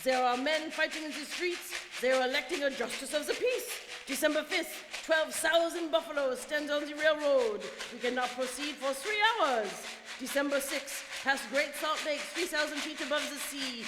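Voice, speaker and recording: An actor playing an excitable French manservant who narrates in a theatrical, exclamatory way with a French accent in a radio drama. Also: high-pitched, normal speed, close to an everyday voice. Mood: reporting. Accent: French, put on for the role. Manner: theatrical, exclamatory, foreign-sounding phrasing. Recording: hollow reverberant studio with noise.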